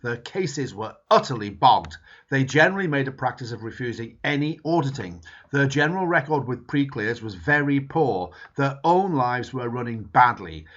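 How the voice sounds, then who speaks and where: A man reading a book foreword aloud in a measured, emphatic way. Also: medium pitch. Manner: measured, emphatic reading with lively rises and falls. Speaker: reader. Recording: dull lo-fi recording.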